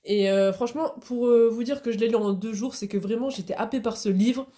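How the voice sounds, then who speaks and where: A woman's voice: on the high side, fairly quick, dark tone. Stuffy-nosed woman chatting casually. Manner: chatty, many hesitations and restarts. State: stuffy nose from a cold. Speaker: hobby vlogger. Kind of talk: casual chat.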